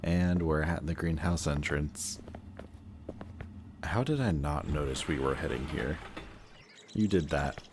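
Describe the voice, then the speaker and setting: Man acting as male reformed antihero, extremely deep voice, remorseful, affectionate, hesitant confiding delivery, intimate roleplay audio, some background noise. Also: animated, unhurried, long pauses. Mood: warm, relaxed. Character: reformed antihero best friend, remorseful, affectionate, emotionally open.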